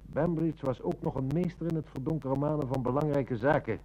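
A man playing a shrewd, dry, measured police inspector in a Dutch radio play. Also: on the high side, warm tone, lightly acted, poor audio. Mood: businesslike.

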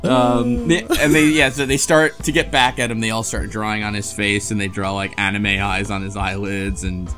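A man chatting casually as a podcast co-host, retelling a game's story in a halting, hesitant way. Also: fairly low pitch, steady tone, fairly bright tone, soft background music. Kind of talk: casual chat, recapping a game story.

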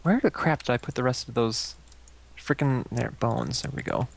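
A man chatting casually while playing a game, teasing and quick to laugh, on a headset mic. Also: mid-range voice, sing-song, vocal fry, husky. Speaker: let's play co-host. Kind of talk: casual gaming chat.

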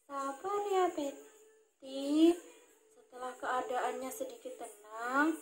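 A woman voicing a calm, caring monkey puppet friend in a children's story, speaking in a patient, soothing way. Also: sits high, slow pace, music in the background, poor audio.

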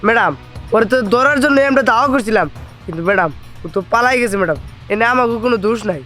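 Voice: medium pitch, lively intonation, rapid-fire, a bit bright, faint music behind, lightly acted. An actor playing a boastful hired thug who dodges blame in a cartoon drama. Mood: boastful, excusing.

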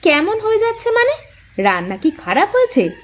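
A woman voicing a nagging, sharp-tongued village housewife in a cartoon folk tale, with rural speech and a scolding, sarcastic manner. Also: very high voice, wildly swooping pitch, fairly quick, heavily acted. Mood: offended.

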